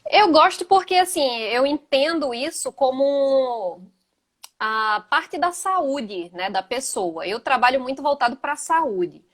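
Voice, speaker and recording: A woman in her thirties with a Gaúcho accent talks as a physical education teacher on a video call. Her voice sits slightly high. She speaks casually, with frequent "né" tags. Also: lively intonation, slow pace. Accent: Gaúcho (southern Brazilian) Portuguese. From Northeast Brazil. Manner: conversational, many "né" tags. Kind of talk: casual live chat.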